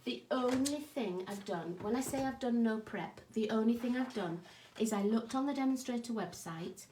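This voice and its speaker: A woman with a croaky, hoarse voice hosts a craft live stream, chatting and greeting viewers by name. Her voice sits fairly high for a woman, and the home craft room around her sounds echoey. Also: moderate pace, warm tone, slight background noise. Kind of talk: casual live-stream chat.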